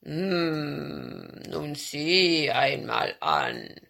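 A big brown storybook bear performed by a woman, lowered and gravelly. He grumbles lazily, drawing out each word, gruff but easygoing. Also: huge pitch swings, a bit bright, exaggerated voice. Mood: lazy surprise. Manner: growly grumbling, drawn-out words.

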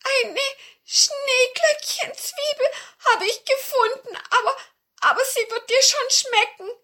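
A little storybook dwarf played by a woman in a very high, bright voice. He is timid and earnest, whining as if close to tears. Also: measured pace, exaggerated voice. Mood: tearful. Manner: plaintive, whining. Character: small timid male dwarf, earnest and tearful.

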